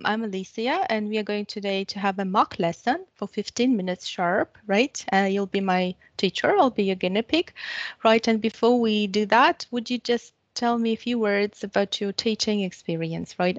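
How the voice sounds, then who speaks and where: A brisk woman interviewer keeps tagging her sentences with quick checks. Her voice comes through a headset on a video call, muffled and lo-fi, with no room sound at all. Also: mid-range voice, fairly even intonation, dry recording. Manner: brisk, checks in with frequent "right?". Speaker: interviewer playing a student. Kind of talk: mock job interview. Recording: dull dry video-call audio.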